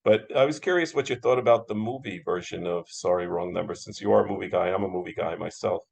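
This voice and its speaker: A man's voice: low voice, fast talker, vocal fry, darkish tone, husky. An American man moderating an interview in a casual, agreeable, conversational style, often echoing and affirming.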